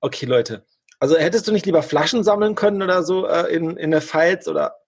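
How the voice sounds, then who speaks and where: A man's voice: a little high, fairly quick, slightly rough. A man hosting a podcast, chatting casually and teasing his guests in an informal way. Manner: joking, informal. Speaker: podcast host. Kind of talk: casual interview chat.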